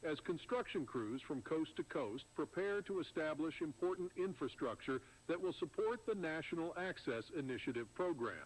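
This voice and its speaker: A man's voice: fairly high pitch, expressive, normal speed, few pauses, slight background noise, lightly acted, low-quality recording. A man playing a formal newsreader, delivering a scripted news report about public affairs. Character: formal newsreader announcing a government program.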